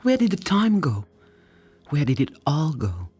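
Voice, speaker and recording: A woman voicing an affectionate, frail older woman mentor in a storybook, tender and gently teasing. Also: deep voice, wildly swooping pitch, measured pace, husky, heavily acted. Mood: joyful, wistful. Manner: tender, exclaiming, doting. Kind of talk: storybook character voice.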